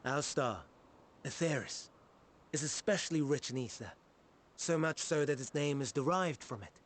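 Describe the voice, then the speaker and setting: A man's voice: a little high, expressive, normal speed, lightly acted. An actor playing a learned, courteous male scholar in a game cutscene, lecturing in old-fashioned speech. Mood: explaining. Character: learned archaic male scholar, genteel and kindly. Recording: game audio with music behind.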